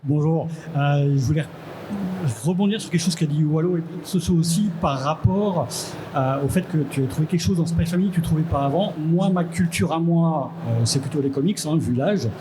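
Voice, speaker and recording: A middle-aged man speaks from the audience at a convention. He frames his question slowly and searches for the words. His voice is fairly high, and loud crowd noise surrounds him. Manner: slow, tentative questioning. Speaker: audience member asking a question. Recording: noisy live event ambience.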